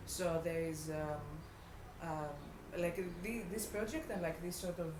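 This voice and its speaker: A woman from Greece with a Greek accent, a non-native English speaker, answering interview questions reflectively and haltingly as she searches for words. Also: low-pitched, fairly even intonation. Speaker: artist being interviewed. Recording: echoey room with clear background noise.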